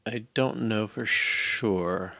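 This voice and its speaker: Low, gravelly older man thinking aloud. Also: drawn-out words, noisy background. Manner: musing, muttering to himself. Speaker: programmer hosting a live coding session. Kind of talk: casual think-aloud coding commentary. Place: indoor room at home.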